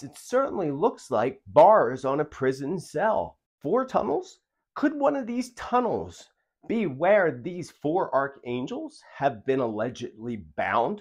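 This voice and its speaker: A man with a fairly high voice presents video commentary directly to his audience. His intonation swings widely up and down, and his delivery is earnest and emphatic. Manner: earnest, emphatic, rhetorical questions. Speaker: host of a prophecy video channel.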